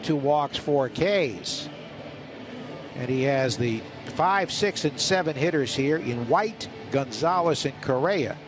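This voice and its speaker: Guy doing radio baseball play by play, voice a bit high, light stadium noise behind him. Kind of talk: live radio sports commentary. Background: outdoor ballpark ambience.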